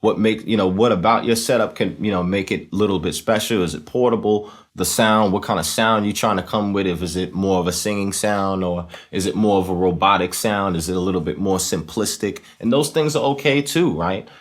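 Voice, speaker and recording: A man's voice: sits low, a little fast, hardly any pauses. A man hosting a music tutorial in an informal, conversational way, often checking in with the listener as he explains. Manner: conversational, often checks in with "right?" and "you know". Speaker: host of a music tutorial series, musician and singer.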